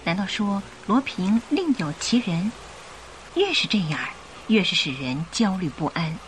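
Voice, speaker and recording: A woman narrating a radio drama in an expressive storytelling style. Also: mid-range voice, unhurried, a little hiss or hum, poor audio. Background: music.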